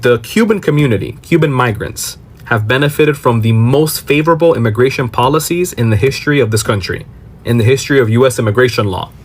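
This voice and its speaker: American male commentator, emphatic and explanatory, rhetorical questions, mid-pitched voice. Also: lively intonation, quick pace, husky. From Miami, Florida. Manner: emphatic, poses rhetorical questions and answers them. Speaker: commentator on a social media reaction video. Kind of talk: explanatory political commentary to camera.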